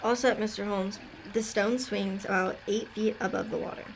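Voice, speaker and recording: A woman's voice: mid-range voice, fairly even intonation, normal speed, gravelly, slight background noise, close to an everyday voice, poor audio. A woman playing a helpful, puzzled, plain-spoken police sergeant with a deferential, folksy manner in a radio drama. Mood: obliging.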